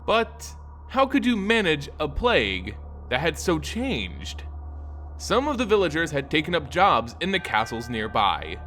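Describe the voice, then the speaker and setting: A man narrates dark fantasy fiction in a slightly high voice that rises and falls widely. His delivery is solemn and theatrical, with deliberately placed phrases. Faint music runs behind him. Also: wildly swooping pitch. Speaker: story narrator. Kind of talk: dramatic scripted fantasy narration.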